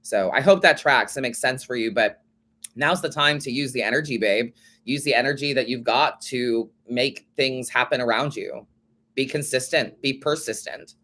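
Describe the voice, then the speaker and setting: A man with a stuffy, blocked-up nose hosts a relaxed tarot advice show. He talks to listeners in a loose, chatty way, calls them babe and honey, and lets the occasional curse word slip. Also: mid-range voice, moderate pace. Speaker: tarot reader and show host. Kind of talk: casual advice reading of listener questions.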